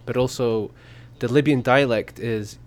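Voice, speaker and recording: A man's voice: medium pitch, steady tone, unhurried. A man from the UK, chatting thoughtfully and easily on a podcast. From United Kingdom. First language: English. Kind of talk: casual podcast chat.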